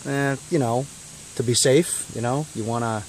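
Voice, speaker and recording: A man's voice: medium pitch, normal speed. Casual, blunt DIY man, noisy recording. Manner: colloquial, blunt, grumbling asides. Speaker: DIY how-to video maker. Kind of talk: casual hands-on tutorial chat. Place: in and around a cargo van.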